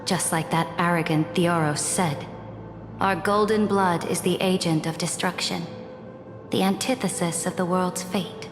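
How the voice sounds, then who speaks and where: An actress playing a cold, commanding, ruthless empress in a video game, with an imperious, measured and solemn delivery. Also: female voice, on the low side, fairly even intonation, lightly acted. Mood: solemn, resolute. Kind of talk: video game drama dialogue. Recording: echoey reverberant hall, music behind.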